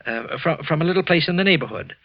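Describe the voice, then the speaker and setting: Jittery, guilty radio-drama clerk. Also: male voice, fairly high pitch, animated, fast, creaky, dry recording. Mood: nervous, evasive. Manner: stammering, nervous, hesitant. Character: jittery, guilty male office clerk, murderer narrating his unraveling. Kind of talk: 1940s radio suspense acting and narration. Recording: dull vintage radio recording with music.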